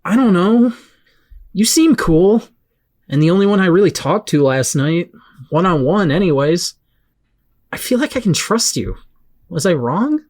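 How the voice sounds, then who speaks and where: A woman playing a rattled, excitable teenage boy with superpowers in an audio drama, speaking emotionally in casual slang. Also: lowish voice, measured pace, pauses often. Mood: weary, confiding.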